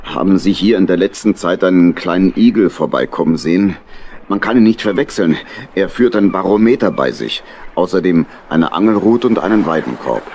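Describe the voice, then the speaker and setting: An actor playing a gruff, kindly old badger captain in a children's audio drama. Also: male voice, deep voice, lively intonation, fairly quick, darkish tone, a little hiss or hum. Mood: friendly, sly.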